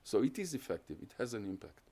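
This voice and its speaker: Man giving a measured lecture. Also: lowish voice, sing-song, vocal fry, mellow, husky. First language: Hungarian. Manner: measured, explanatory, builds lists of points. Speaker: guest lecturer on terrorism research. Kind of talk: academic lecture with audience questions.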